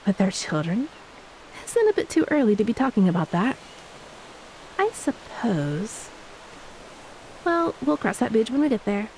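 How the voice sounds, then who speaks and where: Woman acting as soft mellow mermaid wife in a romance audio roleplay, loving n a bit teasing, some hiss behind her. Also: a little high, big pitch swings, a little slow, long pauses, poor audio. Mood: affectionate, teasing. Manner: tender, teasing, speaks softly to a beloved spouse. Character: affectionate, homesick ex-mermaid wife, gentle and playful.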